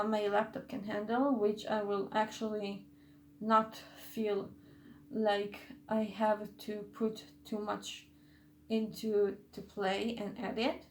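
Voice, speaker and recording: Slow, hesitant young woman in echoey room. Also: medium pitch, slight background noise. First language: not English. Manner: hesitant, loses her train of thought, many restarts. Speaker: YouTuber. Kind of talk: casual vlog update.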